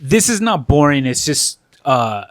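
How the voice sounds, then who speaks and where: A man's voice: a little high, very animated, a little slow, dry recording. Man, podcast co-host, casual, rambling, swears, dry close mic. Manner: loose, swears, trails off. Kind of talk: casual chat. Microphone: studio mic.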